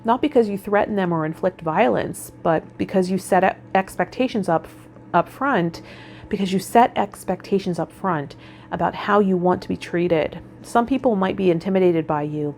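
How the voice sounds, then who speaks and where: Female spiritual vlogger, slightly low register, informal and reflective direct address, faint background noise. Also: a little mellow. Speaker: tarot vlogger and host. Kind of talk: casual spiritual vlog talk, partly reading card meanings.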